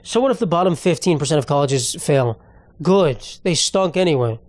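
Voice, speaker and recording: A man's voice: a little high, expressive, moderate pace, a little mellow, no echo, clean studio sound. A man hosting a podcast alone, rambling and ranting in a casual, profane way. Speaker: podcast host. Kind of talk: casual solo podcast monologue.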